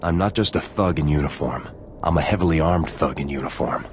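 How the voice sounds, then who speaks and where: An actor playing a ruthless, sardonic sergeant in a video game, curt and threatening. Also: male voice, very deep voice, a little fast, gravelly, a little hiss or hum. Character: menacing, ruthless male soldier, a sergeant in a space army. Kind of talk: video game cutscene acting.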